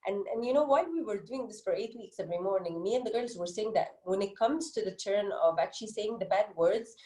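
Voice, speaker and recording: Woman, Egyptian accent, soft mellow tone, earnest reflective storyteller, conversational interview, roomy echo. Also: medium pitch, quick pace. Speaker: interviewed children's book author. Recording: roomy, echoey sound.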